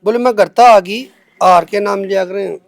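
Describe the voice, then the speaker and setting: A man's voice: high-pitched, moderate pace, no room sound. A young man with a Haryanvi accent defending himself in an unscripted interview, speaking emphatically and colloquially with repeated phrases. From Haryana, India. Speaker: interviewee answering accusations against him.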